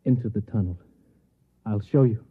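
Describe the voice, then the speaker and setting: Jittery, paranoid American conspirator, rough-edged voice, thin vintage radio sound. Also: male voice, fairly low pitch, moderate pace, soft background music. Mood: secretive. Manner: hesitant, secretive, earnest. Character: nervous, furtive, paranoid man whispering of conspiracy. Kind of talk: old-time radio drama acting. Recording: tinny, band-limited vintage radio recording.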